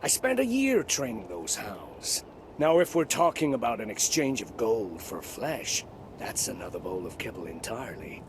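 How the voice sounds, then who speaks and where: An actor playing a stern, scornful centurion and dog trainer in a fantasy video game, barking and haggling. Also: male voice, lowish voice, big pitch swings, normal speed, slight background noise. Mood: haggling, boastful.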